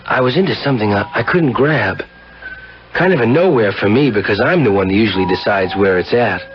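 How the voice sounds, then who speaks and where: A man's voice: fairly low pitch, animated, brisk, slight background noise, natural voice. An actor playing a cynical, slangy womanizing car dealer in a 1970s radio drama. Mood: wry, brooding. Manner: hip slangy, wry. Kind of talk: 1970s radio drama acting with first-person narration. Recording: muffled old radio broadcast, music behind.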